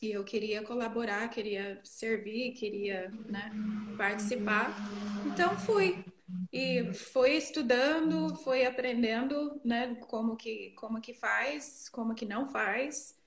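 A middle-aged woman from the northeastern United States, a native English speaker, telling her story reflectively and haltingly as an interview guest. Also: on the high side, reverberant, slight background noise, low-quality recording. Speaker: interview guest, worship leader. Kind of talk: conversational personal storytelling in an interview.

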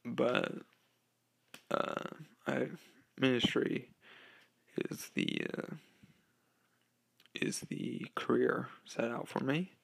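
Teenage boy, podcast host, gravelly fry, unhurried, hesitant with fillers, cheap microphone. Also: medium pitch, level tone, a bit bright. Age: teens. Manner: hesitant, many ums and uhs, occasional laughs. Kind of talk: casual solo podcast talk. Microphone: low-quality home microphone.

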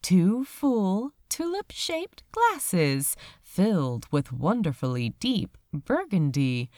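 A woman narrates a story in a measured, literary way. Her delivery is slow, with pitch that rises and falls freely. The sound is dry and close, with very little room around it. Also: big pitch swings, a little mellow, dry recording. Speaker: audiobook reader of fan fiction.

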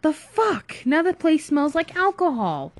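A woman voicing a loud, irritable hungover man who swears and shouts in exasperation in audiobook dialogue. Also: a little high, lively intonation, a little slow, no echo. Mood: indignant.

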